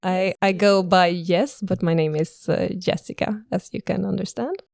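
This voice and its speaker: Hesitant Swedish-accented woman, gravelly voice. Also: on the low side, normal speed, a little mellow, no echo, clean studio sound. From Sweden. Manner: hesitant, searching for words. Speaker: podcast co-host. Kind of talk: casual podcast chat.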